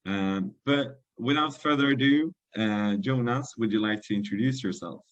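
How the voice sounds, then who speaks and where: A man's voice: mid-range voice, moderate pace, no room sound, lo-fi sound. A young man, a business intern hosting a webinar, polite and a little hesitant, with many ums and uhs over a video call. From Sweden. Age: young adult.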